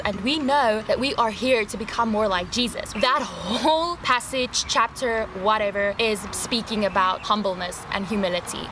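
A young woman in her twenties giving an energetic, dramatic faith talk to camera from a garden. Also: medium pitch. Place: outdoor garden patio. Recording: outdoor background noise.

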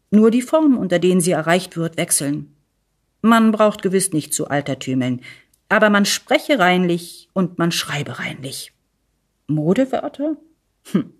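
A woman reading a satirical essay aloud in an expressive, deliberate and emphatic way with dry irony. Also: fairly low pitch, husky. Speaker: reader of a literary text.

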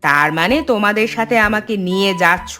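A woman's voice: mid-range voice, fairly quick, sharp tone, close to an everyday voice. A woman voicing a touchy, demanding landlord's wife in a cartoon story, with a scolding manner. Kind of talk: Bengali cartoon story voice acting. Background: music.

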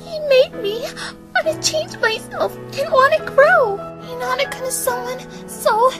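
A woman's voice: extremely high pitch, unhurried, music in the background. A woman voicing a timid, lonely teenage schoolgirl in an amateur manga fandub, hesitant, stammering and earnest. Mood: earnest, wistful. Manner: hesitant, stammering, soft-hearted.

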